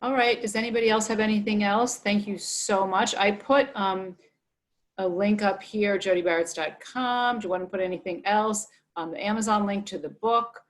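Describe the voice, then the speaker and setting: A woman's voice: medium pitch, moderate pace. A woman moderating a virtual book event, friendly and chatty. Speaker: event host.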